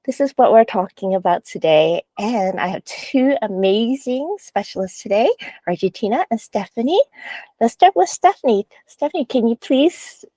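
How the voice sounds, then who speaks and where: A woman's voice: a little high, expressive, moderate pace, warm tone, no room sound. A woman hosting a casual podcast panel over a video call, asking loose, friendly questions with lots of fillers. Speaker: podcast host.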